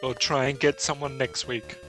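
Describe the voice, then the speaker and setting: A man's voice: medium pitch, level tone, moderate pace, a bit bright, faint music behind. A man hosting a casual podcast chat, laughing often and reading out game text. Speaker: podcast host.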